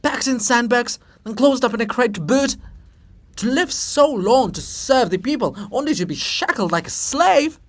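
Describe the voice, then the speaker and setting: A man's voice: very high voice, lively intonation, normal speed, voice pushed up. A man voicing an indignant, foul-mouthed old male statue of a poet in a dramatic play reading. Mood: bitter outrage. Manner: bellowing, cursing, indignant.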